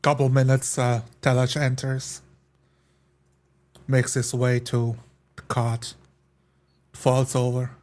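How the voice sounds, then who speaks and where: A man plays a tabletop roleplaying game in a level, unhurried voice. He moves between narrating his actions and measured in-character speech. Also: medium pitch, little pitch movement, darkish tone.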